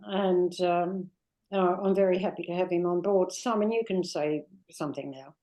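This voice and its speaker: An older Australian woman with an Australian accent, a guest in an interview, reflecting unhurriedly with many pauses and fillers. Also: fairly low pitch, dark tone. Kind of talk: warm reflective interview talk.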